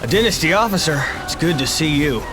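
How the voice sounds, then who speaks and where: A stiff, earnest soldier acted by a man with a high-pitched voice. He speaks formally, as a military man in a sci-fi game, over prominent music. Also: lively intonation, fairly quick, close to an everyday voice. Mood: formal, wary. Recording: music behind the voice.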